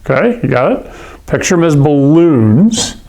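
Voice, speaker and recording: Man from Hawaii, classroom lecturer, unhurried delivery, pitched a little high, patient explainer. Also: sing-song, vocal fry, dark tone. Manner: patient, checks in with "okay?" and "all right?". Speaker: science teacher.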